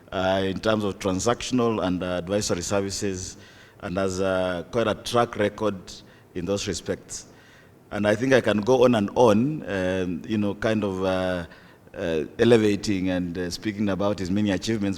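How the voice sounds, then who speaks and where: A Kenyan man introduces speakers at a conference, his low voice hesitant and broken by fillers and light jokes. He speaks into a stage microphone, and a long reverb trails him through the hall. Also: a little mellow. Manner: hesitant, many fillers, jokey asides. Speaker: session chair. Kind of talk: conference moderation.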